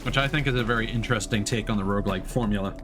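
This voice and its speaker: A man's voice: lowish voice, very fast, over music. A man giving casual, playful commentary as he livestreams a video game. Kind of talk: casual let's play commentary with on-screen text read aloud.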